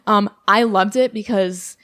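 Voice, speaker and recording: A woman's voice: medium pitch, measured pace, a bit bright. A young woman from New Jersey whose first language was Serbian, chatting casually as a podcast guest and telling stories with many "like" fillers. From New Jersey, USA. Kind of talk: casual conversational interview.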